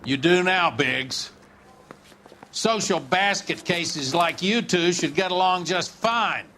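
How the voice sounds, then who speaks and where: Hard, bright-voiced police captain. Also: male voice, high-pitched, takes it slowly, a little hiss or hum, exaggerated voice. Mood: brusque orders. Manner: curt, commanding. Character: hard-nosed, foul-mouthed police captain running a squad. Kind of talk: 1940s police drama acting.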